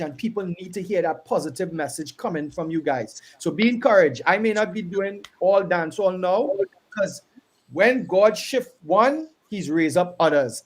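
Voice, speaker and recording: A Trinidadian gospel artist speaks in a casual, earnest radio chat. His voice sits a touch higher than most men's, and his manner turns encouraging and preacherly. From Trinidad and Tobago. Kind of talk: casual radio tribute talk.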